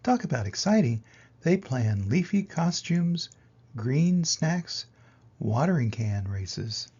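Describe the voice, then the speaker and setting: An older man reading a children's picture book aloud in an expressive way, with dramatic pauses and emphasis. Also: medium pitch, breathy edge, lo-fi sound. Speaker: reader of children's picture books. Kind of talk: animated storybook read-aloud.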